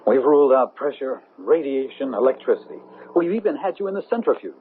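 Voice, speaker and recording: A man's voice: mid-range voice, big pitch swings, fairly quick, natural voice. A man playing an earnest, flustered scientist in a 1970s radio drama, exclaiming and stammering over his words. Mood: resigned, earnest. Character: astonished, flustered male scientist, earnest and cautious. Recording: muffled, noisy old radio broadcast.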